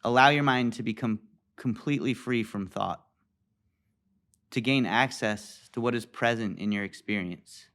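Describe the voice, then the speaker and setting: A man leading a guided meditation as a teacher, speaking in a calm, deliberate way with pauses. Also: mid-range voice.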